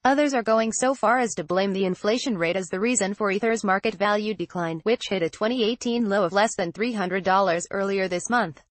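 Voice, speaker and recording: A woman's voice: mid-range voice, fairly quick, hardly any pauses, no echo. A woman reading a scripted news article aloud in a formal manner, as a narrator.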